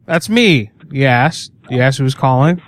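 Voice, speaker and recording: A man's voice: medium pitch, animated, normal speed, a little hiss or hum. Crude mocking prank-calling man. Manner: mocking, crude, fake-salesman patter. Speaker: prank caller. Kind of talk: prank phone call.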